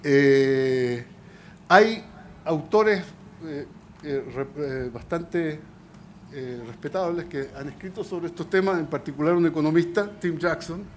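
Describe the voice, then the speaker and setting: A man's voice: a little high, takes it slowly, slight background noise. A man speaking as a scientist on a conference panel, hesitant, with many fillers and restarts. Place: auditorium.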